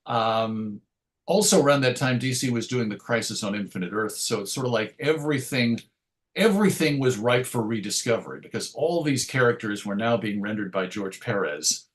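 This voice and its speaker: A man's voice: medium pitch, expressive, moderate pace. A middle-aged man reminiscing casually as an interview guest, hesitant and rambling, often interrupting himself to restart a thought. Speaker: interview guest, writer and radio show host.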